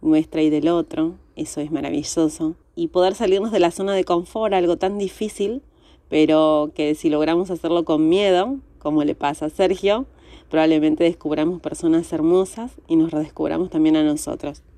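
A woman's voice: deep voice, steady tone, moderate pace, mellow, no echo. A woman with a Buenos Aires Spanish accent leaving a reflective fan message. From Buenos Aires, Argentina.

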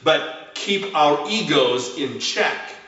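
A man preaching a sermon as a pastor, with emphatic, deliberate phrasing and dramatic pauses. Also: on the high side, expressive, bright, forceful tone, slight background noise. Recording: roomy echo, distant mic, slightly muffled.

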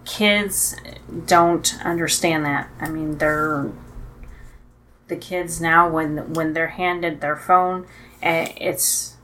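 A middle-aged mother chats on her child's podcast in an unhurried, measured way. Her voice is a little low, and her remarks are dry. A faint hiss of noise sits behind her. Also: steady tone, a bit bright. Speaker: podcast guest, host's mother. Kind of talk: casual podcast chat.

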